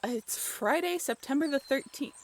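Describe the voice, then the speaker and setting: Woman acting a high-voiced, playful young girlfriend. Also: a little hiss or hum. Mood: flustered, confused. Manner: giggly, teasing, halting and expressive. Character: playful, teasing young woman with her werewolf lover. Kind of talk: intimate roleplay audio drama, natural conversational acting.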